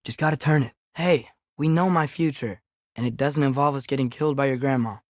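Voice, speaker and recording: A man's voice: mid-range voice, fairly quick, no room sound, studio quality, lightly acted. A young male actor playing an earnest, curious, impulsive teenage boy in a Norse myth video game, speaking naturally with a hesitant, boyish manner. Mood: gentle, awkward.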